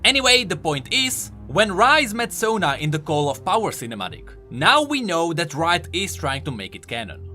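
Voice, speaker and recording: Guy doing a lore explainer, voice kinda high and really lively, music behind him. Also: normal speed, hardly any pauses. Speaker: host of a game lore video. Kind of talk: scripted explainer narration with commentary.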